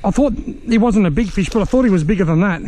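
An Australian man giving chatty, excitable commentary while fishing on a riverbank, filmed on an action camera and talking to the fish he catches. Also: high-pitched, fast. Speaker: fishing vlogger. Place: outdoors on a riverbank.

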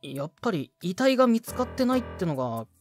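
A man's voice: sits high, very animated, quick pace, soft background music. A man playing a young junior sound mixer, hesitant, casually polite and easily startled, in a Japanese audio drama. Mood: uneasy, hesitant. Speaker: voice actor.

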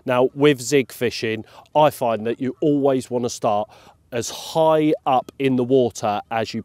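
A man's voice: mid-range voice, a little mellow, dry recording. A middle-aged man explaining fishing tactics to camera outdoors in an easygoing, enthusiastic, conversational way. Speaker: carp fishing presenter. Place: outdoors by a lake, beside a bivvy tent. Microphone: clip-on lapel mic with windshield.

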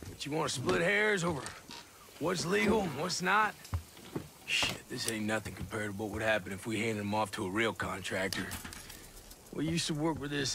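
An actor playing a cocky, menacing middle-aged American soldier with a gravelly, drawling, taunting delivery in a video game. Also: male voice, fairly high pitch, background noise. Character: cocky, menacing ex-special-forces soldier, hard and contemptuous. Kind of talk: video game cinematic acting.

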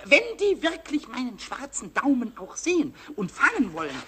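A man voicing a small mischievous, childlike kobold in a children's radio play, stammering eagerly and repeating words in a squeaky, raspy voice. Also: big pitch swings, normal speed, fairly bright tone, full character voice. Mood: boastful, excited.

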